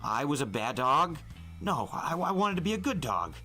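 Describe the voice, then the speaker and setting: A man's voice: a little high, big pitch swings, normal speed, pushed and bright, soft background music, pitched above the performer's own voice. A man voicing an earnest, easily hurt teenage younger brother in acted storybook dialogue. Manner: incredulous, earnest.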